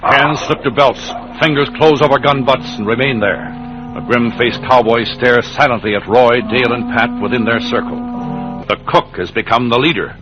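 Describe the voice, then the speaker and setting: A man narrating a western adventure for old-time radio in a measured, dramatic storytelling style. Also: medium pitch, natural voice. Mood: suspenseful. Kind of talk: 1940s-50s radio scripted narration. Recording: dull old radio recording. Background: loud music.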